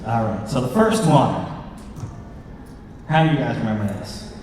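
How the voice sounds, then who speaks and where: A man's voice: medium pitch, lively intonation, normal speed, a little mellow. A man in his thirties co-hosting a casual convention panel, talking in an informal, joking way. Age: 30s. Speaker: panel co-host, movie reviewer. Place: convention panel room with audience, indoors. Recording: echoey hall from far off, noisy.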